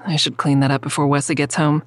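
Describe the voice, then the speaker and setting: A woman's voice: deep voice, flat delivery, fast, a little hiss or hum, natural voice. A woman playing a wary, stubborn mother who narrates her risky investigation in the first person in an audio drama. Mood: wary, confiding.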